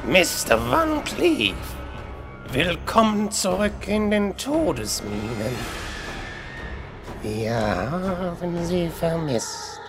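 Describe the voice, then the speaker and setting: A man's voice: high voice, sing-song, extremely slow, music in the background, heavily acted. A man playing a sinister, theatrical villain in a fantasy parody audio drama, drawing out words with menace. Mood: gloating menace.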